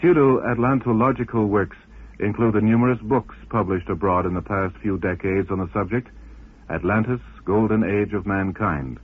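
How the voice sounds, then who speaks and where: A man's voice: fairly low pitch, moderate pace, breathy edge. A man reading formal scripted narration as a radio presenter. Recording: dull lo-fi radio recording.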